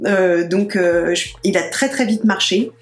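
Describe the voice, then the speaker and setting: A woman's voice: on the low side, measured pace, echoey room, faint music behind. A young woman chatting casually in an interview about her channel, with plenty of fillers and restarts. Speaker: interviewed YouTube channel creator.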